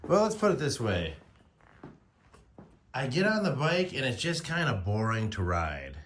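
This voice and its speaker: A man's voice: mid-range voice, huge pitch swings, unhurried, fairly bright tone, slight background noise. An American man in his forties talking casually and informally to his phone as a motorcycle vlogger, rambling in a slangy, conversational way. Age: 40s. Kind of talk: casual rambling vlog to camera.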